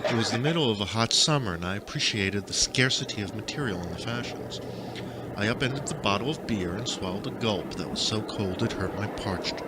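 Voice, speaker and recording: A man reading first-person fiction aloud as an audiobook narrator, with an expressive, dramatic delivery. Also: fairly low pitch, very noisy.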